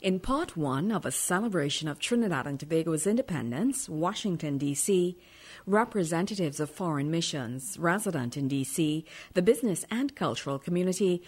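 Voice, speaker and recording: A woman giving scripted news narration in a measured, formal reporting tone. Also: lowish voice, darkish tone, slightly rough.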